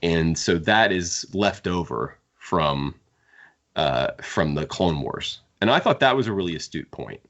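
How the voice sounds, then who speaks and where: Very deep-voiced man, casual book podcaster. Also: lively intonation, measured pace, a bit bright, muffled recording. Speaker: podcast co-host discussing books. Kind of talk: casual opinionated book discussion.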